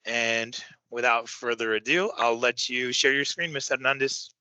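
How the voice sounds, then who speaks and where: Bright, upbeat man hosting a training call. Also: medium pitch, steady tone, normal speed, no echo, rough recording. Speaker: host of a teacher training session. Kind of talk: moderating an online training session.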